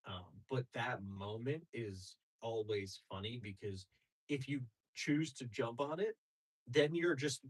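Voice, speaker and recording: A comedian talks through ideas in a loose podcast conversation, animated and often jumping into small put-on voices. His voice is husky and breathy at a middling pitch. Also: slow pace, low-quality recording. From Greek family. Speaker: comedian guest. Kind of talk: casual podcast chat.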